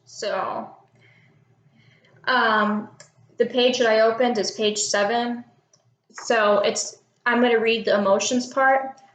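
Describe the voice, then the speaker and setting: An 18-year-old woman from Texas, chatting casually to camera and reading out questions and a word list, unsure and filling pauses with ums. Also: on the high side, fairly even intonation, a bit bright, echoey room. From Texas, United States. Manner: chatty and unsure, many ums and little laughs. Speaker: vlogger doing an accent tag.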